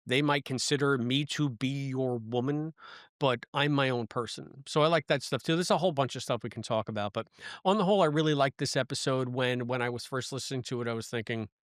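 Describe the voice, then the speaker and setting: Male podcast co-host, middle-aged, medium pitch, jokey and self-deprecating, casual review chat, dry close sound. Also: fairly quick, dry recording.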